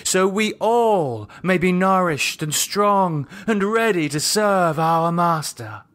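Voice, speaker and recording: A man acts out a commanding high priestess of a vampire cult in a horror audiobook. The voice is pitched well up and declaims each phrase with solemn, exultant fervour, like ritual liturgy. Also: expressive, slow pace, a bit bright, heavily acted.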